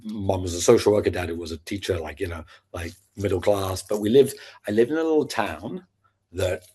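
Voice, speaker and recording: Husky-voiced Scottish man with a neutral British accent. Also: deep voice, normal speed. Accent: neutral British, not Scottish-sounding. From Scotland. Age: 50s. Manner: lively storyteller acting out his past self in quotes. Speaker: podcast guest, sales founder. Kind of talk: animated storytelling in casual chat.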